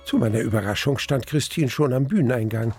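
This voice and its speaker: Actor as brooding, slightly gravelly male narrator. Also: mid-range voice, lively intonation, darkish tone, soft background music, lightly acted. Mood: subdued, relieved. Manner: measured, suspenseful storytelling. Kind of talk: first-person radio play narration.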